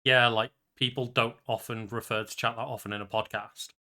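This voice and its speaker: A man with a bright, forward voice chats casually as a podcast co-host. His delivery is loose and conversational, like banter between friends. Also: mid-range voice, level tone, brisk.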